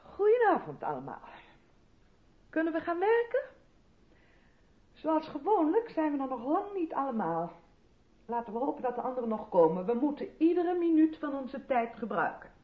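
Earnest, high-voiced woman theatre director. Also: very animated, moderate pace, long pauses. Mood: brisk. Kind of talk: 1970s Dutch radio play acting. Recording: muffled, noisy old radio recording.

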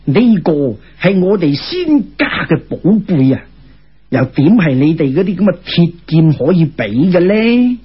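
A man voicing a wise, composed Taoist immortal in a Cantonese folk tale, with a measured, explanatory manner, on a lo-fi recording. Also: high voice, sing-song, husky, full character voice. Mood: proudly explaining.

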